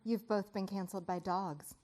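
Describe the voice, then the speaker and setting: A woman's voice: medium pitch, moderate pace, warm tone, natural voice. A woman playing an upbeat, teasing wife and podcast co-host in conversational stage comedy.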